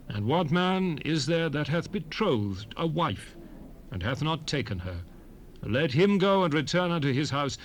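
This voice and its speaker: An actor playing a stern, commanding lawgiver in a dramatized scripture reading, declamatory and emphatic with measured phrasing. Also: male voice, a little high. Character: stern, commanding male lawgiver addressing his people. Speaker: scripture narrator in a dramatized Bible recording. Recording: 1970s cassette rip, faint background noise.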